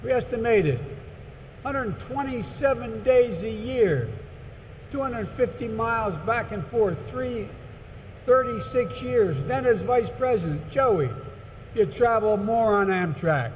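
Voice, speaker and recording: Man acting out a loud, high-pitched teasing train conductor. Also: animated, slow, full character voice. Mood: emphatic teasing. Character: exuberant male train conductor, teasing and familiar buddy. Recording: echoey hall, noisy compilation clips.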